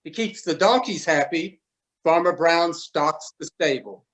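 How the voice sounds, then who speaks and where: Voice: mid-range voice, unhurried. A man narrating a children's storybook as a readers theatre performer. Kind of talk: storybook reading.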